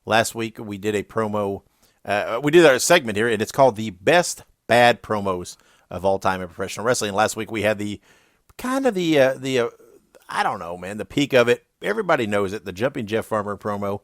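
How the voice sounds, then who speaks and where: A man's voice: fairly low pitch, expressive, fairly quick, no echo, clean studio sound. A man hosting a wrestling podcast, chatty and laughing, sounding stuffy and congested. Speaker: podcast host. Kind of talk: casual podcast outro.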